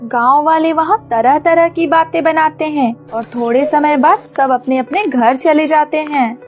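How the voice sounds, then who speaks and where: Woman narrator for a kids cartoon story, high pitched, scripted, faint music behind. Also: measured pace, a little mellow.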